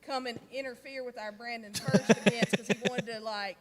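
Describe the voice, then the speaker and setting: A woman's voice: on the high side, fairly even intonation, moderate pace. A woman, a youth minister chatting as a guest and telling stories. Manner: chatty storyteller, laughs easily. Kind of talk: casual interview chat.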